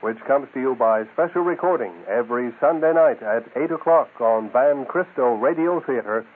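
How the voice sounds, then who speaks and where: A man's voice: medium pitch, normal speed, narrow, tinny audio. A man hosting a radio show, reading scripted promotional announcements on a thin radio recording.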